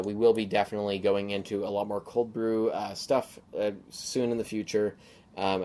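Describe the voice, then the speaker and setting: A young man hosting a solo podcast, rambling casually with lots of fillers and restarts, his voice a little hoarse from a sore throat. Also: fairly low pitch, darkish tone. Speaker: podcast host.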